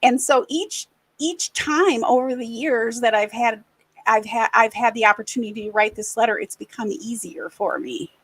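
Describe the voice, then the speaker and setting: A middle-aged woman from the American Midwest, hosting a podcast and speaking candidly and reflectively, often pausing and restarting. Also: fairly high pitch, slightly rough, dry recording, rough recording. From Racine, Wisconsin, USA. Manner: earnest, reflective, halting. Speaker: podcast host. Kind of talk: candid personal conversation.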